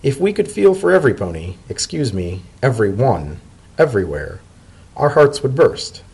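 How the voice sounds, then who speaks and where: A man's voice: medium pitch, sing-song, gravelly, a little mellow, breathy edge, heavily acted, rough recording. A man voicing a polite, thoughtful pony diplomat in a storybook reading, speaking formally and sincerely. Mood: earnest, measured. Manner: formal, measured, sincere speech. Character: earnest, polite male pony civil servant, thoughtful diplomat.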